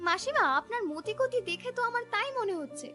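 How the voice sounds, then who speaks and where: A woman voicing a sharp-tongued, suspicious young village wife in a cartoon, argumentative and accusing. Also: very high-pitched, lively intonation, brisk, soft background music, exaggerated voice. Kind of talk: cartoon voice acting.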